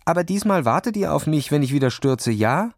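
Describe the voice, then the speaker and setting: A man's voice: on the high side, lively intonation, fairly quick, no room sound, studio quality, voice pushed up. A man voicing an anxious but sensible boy skier, pleading and indignant, in a children's story.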